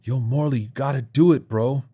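A man acts out a hot-headed young street tough in an audio story. The voice is pushed higher than the narrator's, slangy and excitable, always pressing someone. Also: moderate pace, husky, lightly acted. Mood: goading. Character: brash, hot-headed young male street gang member.

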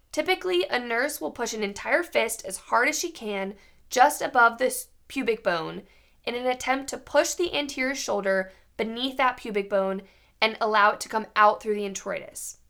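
A young woman with a hoarse voice from a cold, hosting and explaining a medical teaching podcast. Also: a little high, a bit bright. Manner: clear explanatory delivery. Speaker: podcast host.

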